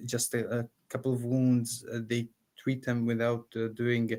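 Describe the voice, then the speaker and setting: Male guest from Palestine, mid-pitched, earnest, halting speech. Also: monotone, warm tone. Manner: earnest, hesitant, frequent fillers. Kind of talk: casual interview.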